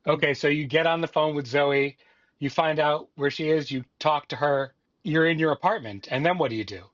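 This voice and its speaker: A man's voice: on the high side, a little fast, muffled recording. A man hosting a podcast, talking in a conversational narrating and interviewing style. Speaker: podcast host.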